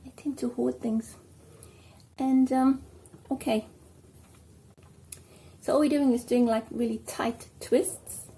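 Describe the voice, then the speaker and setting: A South African woman chatting casually at home while doing her hair, rambling and filling pauses with ums. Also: sits high, fairly even intonation, dark tone. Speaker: vlogger. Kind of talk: casual hair tutorial chat.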